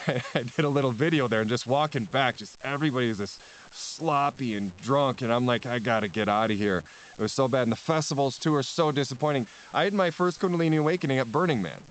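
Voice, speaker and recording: A man's voice: mid-range voice, quick pace, rough recording. Rambling man, laughing often. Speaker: spiritual teacher guest. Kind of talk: casual podcast conversation.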